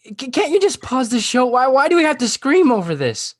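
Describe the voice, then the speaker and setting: A man playing an earnest, lovesick sixteen-year-old boy in a comedy table read, halting and pleading. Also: very high voice, very animated, no echo, heavily acted. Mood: upset, whiny.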